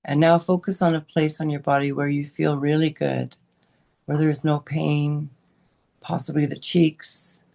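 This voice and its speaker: A woman guiding a meditation as a teacher, giving unscripted gentle instructions in short, unhurried phrases with pauses between them. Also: low voice, level tone, vocal fry. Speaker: meditation and yoga teacher leading a guided session.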